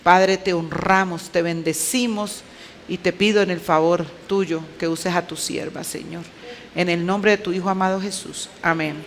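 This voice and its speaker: A woman's voice: on the low side, steady tone, normal speed, a little hiss or hum. A woman with a Colombian accent preaching conversationally, with a clipped, emphatic delivery and rhetorical questions to her listeners. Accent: Colombian Spanish. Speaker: women's conference speaker.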